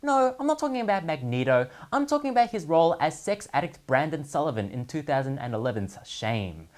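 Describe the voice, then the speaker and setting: Animated, sardonic man hosting a comedy segment. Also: fairly high pitch, brisk. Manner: sardonic, mock-serious punchline delivery. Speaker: host of a gaming news segment. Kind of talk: scripted comedic commentary monologue.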